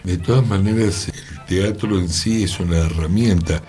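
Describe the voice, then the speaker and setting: A man's voice: low-pitched, moderate pace, warm tone, soft background music. A man with a Rioplatense Argentine accent discussing ideas conversationally, emphatic and given to rhetorical tag questions.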